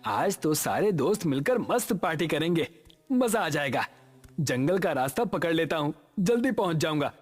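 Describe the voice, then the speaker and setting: A man's voice: on the high side, very animated, moderate pace, soft background music. A man playing a brash young villager in a horror cartoon, with rustic phrasing. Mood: casual, brash.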